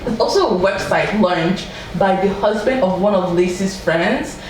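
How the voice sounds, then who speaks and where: A woman's voice: medium pitch, measured pace. Chatty woman in an echoey room. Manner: chatty, animated, quoting people in raised voice. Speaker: podcast host. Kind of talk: casual true-crime storytelling. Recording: echoey room from far off with faint noise.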